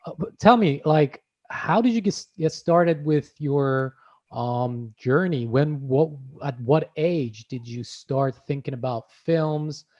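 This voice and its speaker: A man's voice: a little high, slow, darkish tone. Earnest male podcast host, muffled sound. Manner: earnest, with fillers and self-corrections. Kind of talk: casual interview chat.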